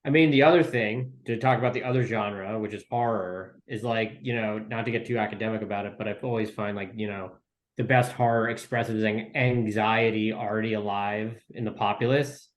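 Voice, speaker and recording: A man's voice: on the low side, fairly even intonation, normal speed. A man talking casually as a writer being interviewed, in an informal, rambling way. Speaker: writer and podcast guest.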